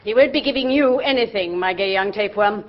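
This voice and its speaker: An actress playing a brisk, bossy middle-aged aunt in a radio drama. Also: female voice, medium pitch, natural voice. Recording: dull lo-fi audio.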